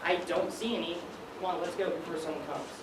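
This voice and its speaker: A man's voice: on the high side, fairly even intonation, quick pace, far from the mic, close to an everyday voice. A teenage male student playing a nervous, doubtful young factory worker in a stage play, with anxious, exclamatory delivery. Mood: panicky. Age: teens. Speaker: student actor. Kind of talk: high school stage play acting. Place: stage in a large room, distant mic. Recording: echoey, noisy stage recording from afar.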